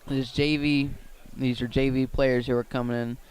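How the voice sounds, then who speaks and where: A male play-by-play commentator describes a football game live for radio. His voice sits in a medium register with little melodic movement. He reports in short, measured, matter-of-fact phrases. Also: medium pitch, level tone, darkish tone, clean tone, rough recording.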